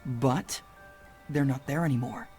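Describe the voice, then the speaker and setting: A woman's voice: very deep voice, unhurried, warm tone, soft background music. An actress playing a friendly, chatty young woman puppeteer in a video game, with a teasing manner. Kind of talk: video game character dialogue.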